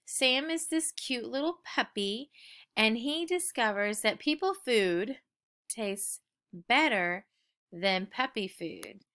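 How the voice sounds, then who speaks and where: A woman reading a children's story aloud with expressive, dramatic emphasis for young listeners. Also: a little high, slow pace.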